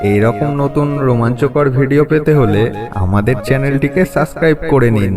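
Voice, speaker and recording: A man with a mid-pitched voice delivers a scripted channel announcement. Loud music plays behind him throughout. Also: a little fast.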